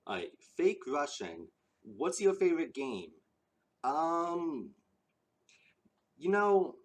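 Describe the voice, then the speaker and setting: A young man answering viewer questions in a casual chat, earnest and eager, rambling with ums and restarts, a little out of breath. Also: medium pitch. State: slightly out of breath.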